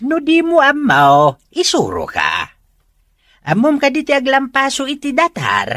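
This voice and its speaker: A woman voicing a playful, homely old father from an Ilocano village who teases with a drawn-out delivery, in a comic radio drama with a Filipino accent. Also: high-pitched, huge pitch swings, fairly bright tone, full character voice. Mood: joking.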